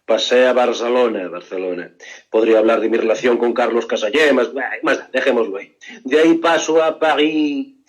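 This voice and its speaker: A man playing a grandiose old painter who boasts with slow, drawn-out drama. His voice sits in a middle pitch range. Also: highs cut off, natural voice. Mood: boastful. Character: flamboyant old male painter, grandiose and theatrical. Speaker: radio contributor.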